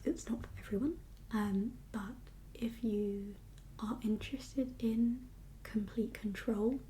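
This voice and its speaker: A woman doing a whispered ASMR roleplay as a doctor, speaking in a breathy, gentle, reassuring and slightly hesitant consultation manner. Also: mid-range voice, slight background noise.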